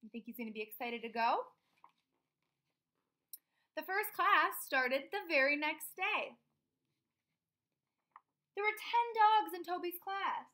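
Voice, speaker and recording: A young woman with a high-pitched, bright voice reads a children's picture book aloud. Her delivery is expressive, and she speaks directly to small listeners, checking in with questions as she goes. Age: young adult. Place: small room indoors at home.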